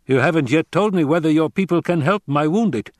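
A man's voice: on the high side, lively intonation, brisk, no room sound, studio quality, pitched above the performer's own voice, natural voice. A man reading an audiobook, voicing a weary, guarded older male military adviser with clipped, tense speech. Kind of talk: audiobook character voice.

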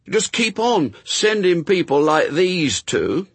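A man's voice: sits high, big pitch swings, slow, slightly rough, dry recording, voice pushed up, full character voice. A man voicing an earnest, stubbornly reasonable young boy in a story, arguing in plain, childlike run-on sentences. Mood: earnest protest.

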